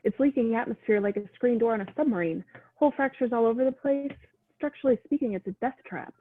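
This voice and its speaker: A woman's voice: on the high side, fast, breathy edge. Scanner officer, read by a woman, anxious report, tinny phone-like line. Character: female starship scanner officer. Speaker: actor in a table read.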